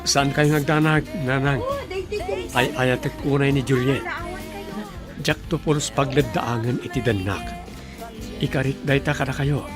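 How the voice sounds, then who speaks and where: A man's voice: mid-range voice, fairly even intonation, unhurried, pauses often, close to an everyday voice. A man playing a devoted, earnest young husband in an Ilocano radio drama. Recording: music clearly under the voice.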